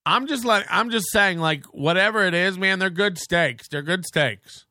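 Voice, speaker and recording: A man's voice: high-pitched, normal speed, pushed and bright, no echo, studio quality. A man hosting a podcast in casual, rambling chat, crude and joking, with lots of restarts. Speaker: podcast host.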